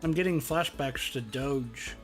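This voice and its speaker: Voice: medium pitch, measured pace, soft background music. A streamer talking casually and a little self-deprecatingly with friends, sometimes reading out a game's narration. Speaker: gaming streamer. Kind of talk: casual group chat and game narration.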